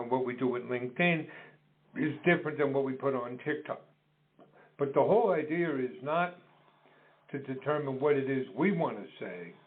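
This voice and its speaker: Phone-like audio, measured man explaining. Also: mid-range voice. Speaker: business co-founder being interviewed. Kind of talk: explanatory interview talk. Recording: dull phone-like call audio.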